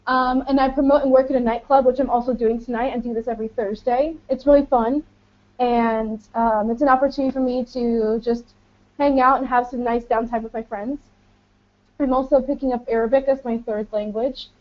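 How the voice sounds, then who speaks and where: A woman's voice: fairly high pitch, fairly even intonation, a little fast. A woman in her twenties with an American accent, introducing herself informally as a teaching assistant on an online webinar. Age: 20s. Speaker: English teaching auxiliary speaking as a webinar panelist. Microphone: online webinar call. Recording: dull lo-fi internet call audio.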